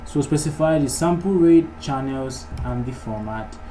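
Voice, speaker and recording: A man's voice: medium pitch, normal speed, music in the background. A man narrating an informal coding tutorial, casually thinking aloud as he works through each step. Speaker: programming tutorial host. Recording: clearly noisy recording, keyboard typing. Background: background noise, computer keyboard typing.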